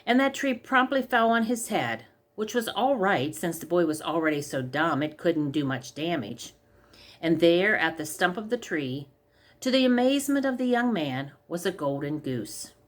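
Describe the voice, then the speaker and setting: Woman, story reader, lowish pitch, scripted storybook narration. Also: expressive, moderate pace.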